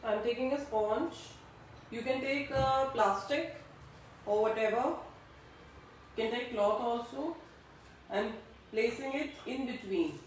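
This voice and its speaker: Lady teaching a craft class online, kinda high voice, slow step by step talk, super echoey and far from the mic. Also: a little hiss or hum. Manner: slow instructional, checks audibility with attendees. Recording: distant echoey room mic.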